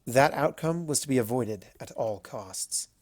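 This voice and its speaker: Narrator reading a fantasy story, measured n dramatic, mid pitch, dry sound. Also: lively intonation, darkish tone, dry recording. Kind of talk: scripted fan fiction narration.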